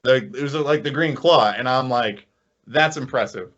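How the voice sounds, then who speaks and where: A man's voice: mid-range voice, normal speed, highs cut off. A man co-hosting a podcast in casual, enthusiastic chat, stammering and repeating words as he goes. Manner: excitable, stammering with frequent repeats. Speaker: podcast co-host.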